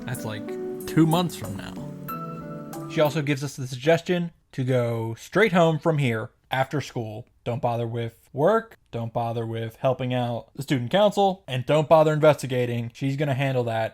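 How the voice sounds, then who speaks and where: A man's voice: medium pitch, normal speed, darkish tone. A man giving a casual, opinionated story recap and commentary, with emphatic, sarcastic asides. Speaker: video creator recapping a visual novel. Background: background music.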